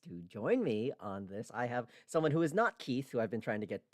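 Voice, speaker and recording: Lively male streamer, close dry sound. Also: medium pitch, brisk, no room sound. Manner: informal, swears freely. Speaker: streamer and host. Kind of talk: casual livestream chat.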